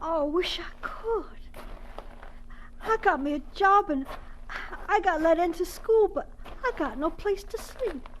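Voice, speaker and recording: A timid, earnest farm boy of ten in a radio play, with a very high, slow voice and a Southern drawl. He talks in halting, folksy phrases, over some background noise. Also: lively intonation, rough recording. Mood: worried, forlorn. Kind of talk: radio drama acting.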